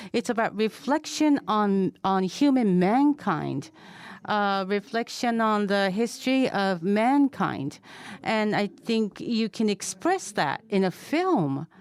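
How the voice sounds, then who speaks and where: A woman interpreting and moderating at a press conference, measured and careful. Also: medium pitch, a little mellow, smooth.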